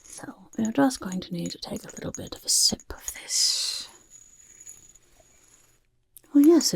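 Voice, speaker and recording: A woman voicing a chaotic, motherly goblin overlord who loves filth, in a soft-spoken ASMR roleplay, coaxing and fussing in breathy, creaky whispers with repeated words, close to the microphone. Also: fairly low pitch, heavily acted. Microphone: close microphone, dry sound.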